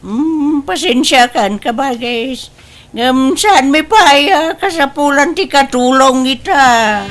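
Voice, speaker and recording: A woman's voice: sits high, expressive, slow, a little hiss or hum. A woman playing a proud, stubborn older wife in an Ilocano comedy drama, with an Ilocano accent and a whiny, insistent delivery. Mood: dismissive. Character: proud, stubborn older wife boasting of her big new house. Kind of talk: Ilocano comedy radio-style drama acting.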